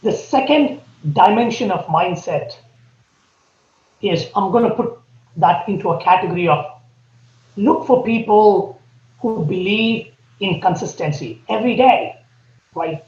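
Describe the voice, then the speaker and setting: A man with an Indian accent, a podcast guest laying out his points earnestly and deliberately. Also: sits high, expressive, a little mellow. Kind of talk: podcast interview. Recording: echoey, dull remote audio.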